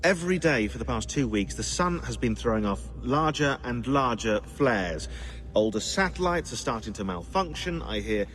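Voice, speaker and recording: An actor playing a sardonic, fussy researcher in a video game, with a dry, wordy, sarcastic delivery. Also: male voice, medium pitch, animated, moderate pace, fairly bright tone, faint music behind, natural voice. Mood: grave, exasperated. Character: sardonic, fussy male researcher on a covert team. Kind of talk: video game cutscene acting.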